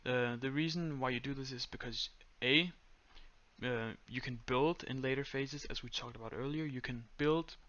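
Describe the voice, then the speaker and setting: A young man with a Danish accent giving a step-by-step screen-recorded tutorial in a flat, monotone voice full of "uh"s and "um"s. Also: medium pitch, normal speed, muffled recording. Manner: monotone, many fillers. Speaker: tutorial creator.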